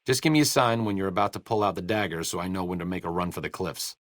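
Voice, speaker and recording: A man's voice: low-pitched, fast, fairly bright tone, no echo. A man playing a wry, bookish, self-deprecating doctor and researcher in a video game, with dry, erudite understatement. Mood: wry, hesitant. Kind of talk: video game character dialogue.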